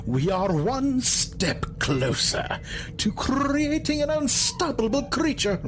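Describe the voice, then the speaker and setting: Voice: sits high, lively intonation, takes it slowly, over music, heavily acted. An actor playing a condescending, scheming villain in an audio drama with theatrical delivery. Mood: disdainful, gloating. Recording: noisy ambience behind voice.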